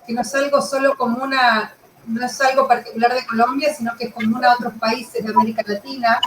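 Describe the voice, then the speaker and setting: A woman's voice: a little high, flat delivery, brisk. A woman conducting a conversational literary interview, phrasing her thoughts in a reflective, tentative way. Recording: roomy echo with clear background noise.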